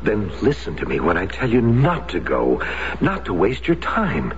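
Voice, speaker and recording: An actor playing an agitated middle-aged husband in a radio drama, pleading in a halting, emphatic way. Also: male voice, fairly low pitch, wildly swooping pitch, a little hiss or hum. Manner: halting, emphatic, repeating himself. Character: agitated husband pleading with his wife not to go. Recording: dull vintage radio recording.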